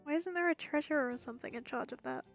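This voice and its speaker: A woman's voice: sits high, quick pace. A woman game streamer giving casual playthrough commentary with wry, exasperated asides. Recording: game music behind the voice.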